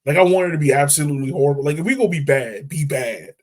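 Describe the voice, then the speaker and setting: A man hosting a podcast, chatting about football in a relaxed, slangy way, full of "like". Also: fairly high pitch, fast talker, a crowd in the background. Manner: relaxed, slangy, repeats phrases with "like". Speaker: podcast host. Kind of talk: casual sports chat.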